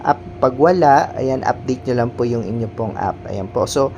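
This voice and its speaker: Guy doing a polite how-to for an app, average pitched voice, noisy background. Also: normal speed, darkish tone, lo-fi sound. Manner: polite, instructional, repeats verbal tags. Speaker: tutorial host. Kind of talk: step-by-step app tutorial, informal. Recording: clearly noisy background hum.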